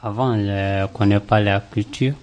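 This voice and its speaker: Low, mellow, even-toned man from Mali. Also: normal speed, lo-fi sound. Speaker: youth radio participant. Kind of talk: unscripted answer in an interview.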